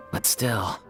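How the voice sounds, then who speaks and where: An actor playing an earnest, heavy-hearted male theater troupe member in a game cutscene. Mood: somber.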